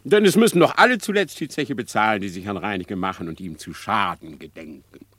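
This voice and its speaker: A sly, murderous fox in a classic radio play. His lowish voice slides and swoops through unctuous flattery, savouring every phrase, in a very dry studio sound. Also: fairly quick, no echo. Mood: gloating. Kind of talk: classic German radio drama acting.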